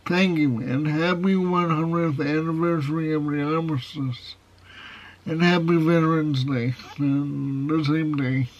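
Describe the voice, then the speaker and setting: A man's voice: fairly high pitch, fairly even intonation. A man with a nasal, foghorn-like voice reviewing a movie in a casual video. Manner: slow, measured delivery with plain opinions. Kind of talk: casual film review to camera. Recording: dull, lo-fi sound.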